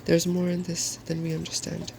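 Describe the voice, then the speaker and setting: A man as a solemn, soft, creaky dragon. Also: a little high, monotone, a little fast, background noise. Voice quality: soft-spoken. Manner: solemn, earnest, polite. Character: solemn, hopeful, meek male dragon. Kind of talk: ASMR storybook reading.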